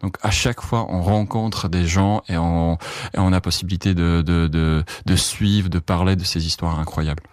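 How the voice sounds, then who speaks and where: A man speaks in a deep, low voice, pausing often and restarting his sentences. The sound is dry and close, with no room around it. He answers questions as a journalist. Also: fairly even intonation, darkish tone, no room sound. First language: Czech. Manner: hesitant, many fillers and restarts. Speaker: journalist, correspondent in France. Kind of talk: interview answers.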